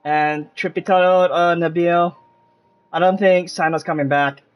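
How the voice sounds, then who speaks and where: A man's voice: sits high, fairly even intonation, a little slow, muffled recording. A man giving casual gameplay commentary as a streamer, rambling and trailing off mid-thought. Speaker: game streamer. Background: game music behind the voice.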